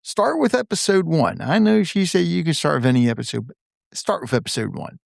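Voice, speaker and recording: A man's voice: fairly high pitch, big pitch swings, fairly quick, vocal fry, dry recording, studio quality. A man hosting a podcast interview, eager and enthusiastic with his guest, then reflective in his closing words. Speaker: podcast host.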